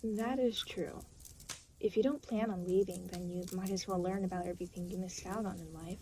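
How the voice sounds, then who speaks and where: Woman acting aloof demon guide, dark relaxed tone, dry and reluctant, audio roleplay, slight background noise. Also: on the low side, brisk, natural voice. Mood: guarded concern. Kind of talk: intimate scripted audio roleplay spoken to the listener.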